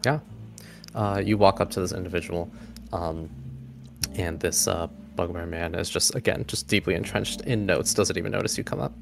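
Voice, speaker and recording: A man runs a tabletop game in a casual narrating style. His voice is deep with plenty of vocal fry. Music is clearly audible behind him. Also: steady tone, normal speed. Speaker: game master.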